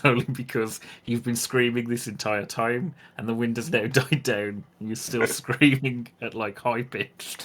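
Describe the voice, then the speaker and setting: A man acting as game master, improvising narration for a tabletop roleplay game in a halting, amused way. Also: mid-range voice, fairly bright tone.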